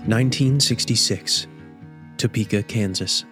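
A man's voice: lowish voice, a little slow, music in the background. A man narrating a scripted radio drama, setting scenes and describing the action.

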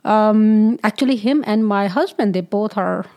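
A woman's voice: mid-range voice, unhurried, highs cut off. A woman from Pakistan, a physician guest in a studio interview, speaking hesitantly with many fillers and frequent laughs. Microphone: studio mic.

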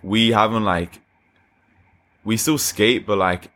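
A man's voice: on the low side, steady tone, slow pace, a bit bright. A young man from Australia and Nigeria, a skater guest chatting loosely with slang and lots of fillers. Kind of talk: casual chat.

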